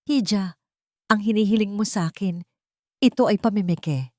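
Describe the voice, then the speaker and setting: A woman's voice: medium pitch, moderate pace, warm tone, dry recording, pitched above the performer's own voice, close to an everyday voice. A grave old craftsman, voiced by a woman in an audio drama. He is fatherly and hesitant, speaking in solemn, cautioning lines. The recording is dry. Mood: grave, reluctant.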